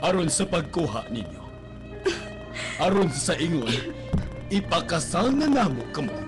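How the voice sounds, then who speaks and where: A man's voice: fairly high pitch, sing-song, a little slow, over music. A man playing a decisive older Cebuano father in a radio drama, speaking emphatically. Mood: decisive, urging. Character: older father, decisive, come to fetch his daughter.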